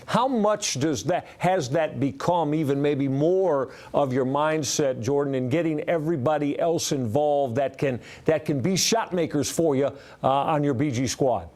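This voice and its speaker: An American man hosting a sports interview, asking long, winding questions in a halting, conversational way. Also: a little high, a little mellow. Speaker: sports show host interviewing a guest.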